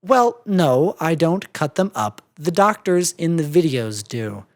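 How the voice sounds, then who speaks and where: Performer as a slow, unflappable female pony. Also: mid-range voice, very animated. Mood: matter-of-fact. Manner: measured, reasonable, explanatory. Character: calm, matter-of-fact, unflappable female cartoon pony.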